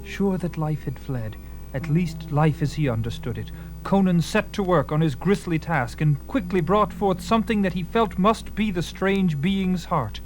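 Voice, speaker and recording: High-pitched man narrating dramatically over music. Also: moderate pace, poor audio. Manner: theatrical, suspenseful. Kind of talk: dramatic scripted story narration. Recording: loud music under the voice. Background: loud dramatic music.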